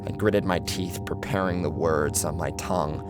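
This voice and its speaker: A man's voice: low-pitched, fairly even intonation, moderate pace. A man giving a dramatic, theatrical first-person narration of a scripted horror story. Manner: theatrical, acts out the tension of the story. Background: music under the narration.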